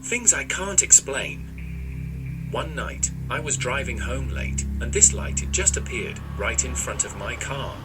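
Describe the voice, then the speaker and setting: A man's voice: mid-range voice, unhurried, over loud music, lightly acted, rough recording. A man playing a shaken small-town resident giving testimony in a scripted audio drama. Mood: shaken, earnest. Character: shaken male small-town resident recounting strange sighting.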